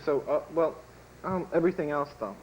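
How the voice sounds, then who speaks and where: A man's voice: on the high side, fairly quick, darkish tone, slight background noise, low-quality recording. A teenage boy interviewing a guest in a school TV sketch, rambling with lots of "um" and "like". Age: teens. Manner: rambling, full of "like" and "um". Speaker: student interviewer.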